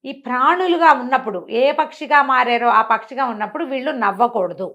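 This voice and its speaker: Female storyteller, somewhat high voice, informal and chatty narration with explanatory asides. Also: brisk, a bit bright, muffled recording. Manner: conversational, explains with asides and rhetorical questions. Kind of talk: informal spoken bedtime storytelling for a listener.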